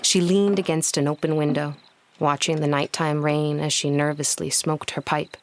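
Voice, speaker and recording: A solemn female storyteller narrates a gothic audio drama. Her voice sits very low, with a gravelly creak. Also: little pitch movement, moderate pace. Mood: measured, ominous.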